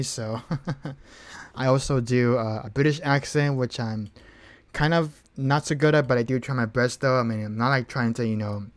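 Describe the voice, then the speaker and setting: A man talks to his stream viewers in a relaxed, unscripted way. His voice sits in an ordinary middle range, and he rambles, repeating words and filling the pauses with ums and uhs. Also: mid-range voice. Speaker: streamer taking song requests. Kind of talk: casual live-stream chat.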